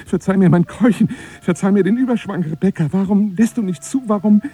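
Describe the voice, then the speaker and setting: An actor playing an obsessive, grandiose telephone seducer in a radio drama, husky and breathy, florid and formal, wounded and menacing. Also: male voice, high voice, fairly quick, mellow. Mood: feverish, pleading. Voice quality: husky, breathy, often dropping to a whisper. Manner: theatrical, florid, formal address, swinging from pleading to scornful.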